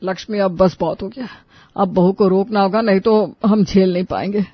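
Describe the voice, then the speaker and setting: A woman's voice: mid-range voice, steady tone, moderate pace, dry recording, lo-fi sound, natural voice. A woman voicing a blunt, exasperated complainer in a comic family story, dramatic in manner. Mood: fed up.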